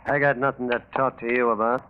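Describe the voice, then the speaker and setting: An actor playing a cocky, slangy small-time American crook in 1940s radio style. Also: male voice, medium pitch, little pitch movement, quick pace, background noise, close to an everyday voice. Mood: defensive, sarcastic. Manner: slangy, wisecracking. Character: cocky small-time crook, smug double-crosser, male. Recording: tinny 1940s radio recording.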